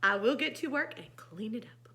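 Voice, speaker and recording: A woman acts out a busy, house-proud farmer's wife from a children's storybook. She speaks in a high, bright voice with big swings of pitch and emphatic exclamations. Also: expressive, normal speed. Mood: proud, brisk.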